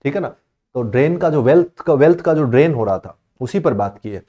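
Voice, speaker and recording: A man explaining history exam questions to students, reading the English text slowly and then explaining it in Hindi, with many check-ins for understanding. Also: mid-range voice, animated, dark tone, dull audio. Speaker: exam coaching teacher.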